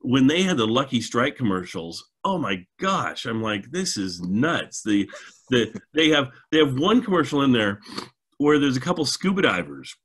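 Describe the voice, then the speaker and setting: A man's voice: medium pitch, very animated, normal speed, a bit bright, husky. A middle-aged American man hosting a casual, chatty discussion over a video call, with an enthusiastic manner. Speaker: show host. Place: home, indoors, video call.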